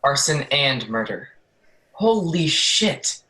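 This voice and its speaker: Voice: mid-range voice, sing-song, takes it slowly, pushed and bright, exaggerated voice. An actor playing a scornful, foul-mouthed jail officer in an audio play, brusque, sarcastic and bullying.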